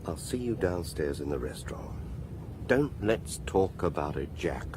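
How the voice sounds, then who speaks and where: An arrogant older English father in a radio drama, his voice low but quite varied in melody. He is curt and condescending, with a clear engine rumble behind him. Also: animated, unhurried. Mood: grudging, dismissive. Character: arrogant, boorish older father, grudgingly making peace. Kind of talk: British radio drama acting.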